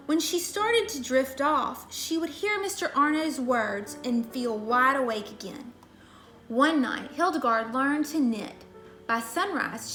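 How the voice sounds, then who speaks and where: A woman's voice: high-pitched, a bit bright, reverberant. A woman reading a children's storybook aloud in a scripted narration style. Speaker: story reader.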